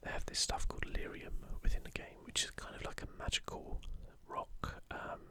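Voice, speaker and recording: A man speaks entirely in a breathy whisper with a bright, crisp edge. He delivers an informal ASMR commentary, gentle and unhurried throughout. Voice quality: soft, breathy unvoiced whisper. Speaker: gaming ASMR video creator. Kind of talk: whispered ASMR, casual commentary with passages read aloud.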